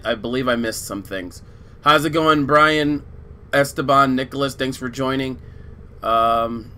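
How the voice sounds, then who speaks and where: A man streaming a video game who chats casually with viewers in a relaxed, rambling way, his voice getting weak. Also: mid-range voice, slight background noise. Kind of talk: casual live-stream chat, reading viewer chat.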